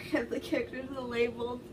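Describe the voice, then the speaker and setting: Woman, high voice, casual joking chat, distant mic, clear background noise. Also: little pitch movement, unhurried. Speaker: co-commentator.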